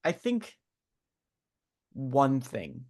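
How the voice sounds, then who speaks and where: A man from the US with a Midwest Chicago accent, co-hosting a film podcast in casual, halting chat. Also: mid-range voice, wildly swooping pitch, a little mellow. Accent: Midwest American, Chicago. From USA. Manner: halting, trails off and restarts. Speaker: podcast co-host.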